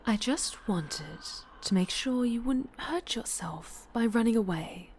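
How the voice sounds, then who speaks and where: A woman playing a possessive, obsessive winged dark-angel superhero, sinister yet doting, in an intimate, breathy ASMR roleplay that often slips toward whispering. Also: fairly high pitch, animated, unhurried, a little hiss or hum. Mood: sinister, coaxing.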